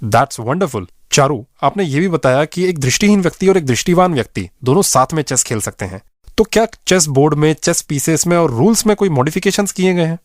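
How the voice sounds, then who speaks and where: Male radio host, slightly high voice. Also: expressive, brisk, no echo, studio quality. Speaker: radio show host and interviewer. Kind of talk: radio interview hosting.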